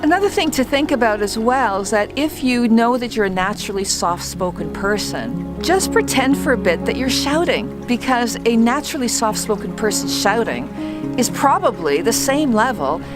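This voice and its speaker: A woman who once worked as a trial lawyer, coaching viewers on public speaking in a calm, deliberate and well-projected voice. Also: fairly high pitch, loud music behind the voice. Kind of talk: instructional talk to camera.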